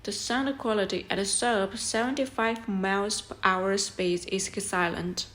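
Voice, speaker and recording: A woman's voice: medium pitch, steady tone, measured pace. A woman presenting a product review as scripted narration, explaining things step by step in an instructional way.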